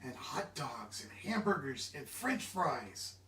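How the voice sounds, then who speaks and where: A man giving an animated, expressive children's storybook read-aloud, engaging his listeners directly. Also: fairly high pitch, slow pace. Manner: expressive, interactive, asks listeners questions. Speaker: children's book reader. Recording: echoey room, distant mic.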